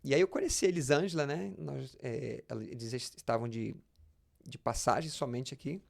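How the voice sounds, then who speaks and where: A man's voice: medium pitch, a little fast, creaky. A Brazilian man speaking as a pastor and interview guest, telling personal anecdotes conversationally and often repeating words as he explains.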